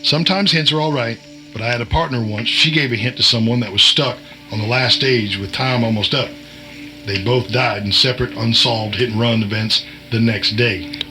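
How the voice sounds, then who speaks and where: A man's voice: mid-range voice, moderate pace, bright, forceful tone, faint music behind, lightly acted, rough recording. A man playing a cagey, wisecracking veteran insider in an audio drama, with a folksy, chiding, cryptic manner. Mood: firm, cautionary. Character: cagey, wisecracking male veteran insider and short-order cook.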